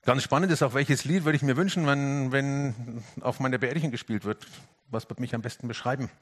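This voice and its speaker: A man giving a reflective sermon, earnest, rising to emphatic lifts and sinking to hushed, quiet passages. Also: mid-range voice, brisk. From Franconia, Germany.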